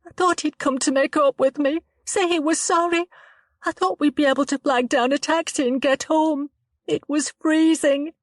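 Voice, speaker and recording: A woman's voice: steady tone, no room sound, studio quality, lightly acted. A woman voicing a tearful, shaken young woman. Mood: upset. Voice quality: trembling, halting and upset, rising higher when distressed. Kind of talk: dramatized audiobook reading.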